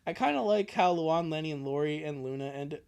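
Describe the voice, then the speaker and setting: A man's voice: a little high, expressive, brisk, gravelly, a little mellow, clear, resonant tone. A man doing a casual, rambling, jokey livestream with commentary on what he reads. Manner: jokey, rambling, chuckling asides. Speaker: live streamer.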